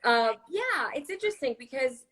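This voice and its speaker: A woman's voice: sits high, very animated, normal speed, a bit bright. A woman who grew up in Miami with Spanish as her first language, chatting expressively and thinking aloud as a guest on a casual live stream. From Miami, USA. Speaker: actress, guest.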